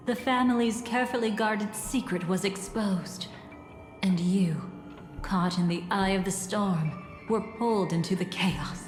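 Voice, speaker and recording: An actress voicing a mysterious woman storyteller in a game, recounting fate in measured, theatrical phrases. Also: mid-range voice, close to an everyday voice. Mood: enigmatic, solemn. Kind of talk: dramatic scripted game narration. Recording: cavernous reverb with music underneath.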